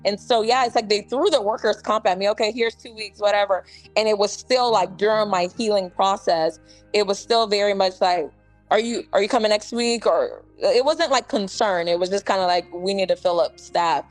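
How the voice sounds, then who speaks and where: A woman's voice: medium pitch, moderate pace, soft background music, poor audio. A woman in her twenties from Ghana, a nurse chatting casually as an interview guest with lots of "like" and "you know". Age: 20s.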